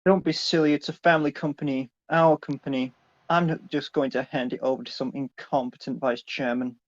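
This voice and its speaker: A man's voice: medium pitch, fairly even intonation, fairly quick, lo-fi sound, lightly acted. A man playing a stern, middle-aged businessman father, curt and commanding, in a dramatic story reading. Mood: dismissive.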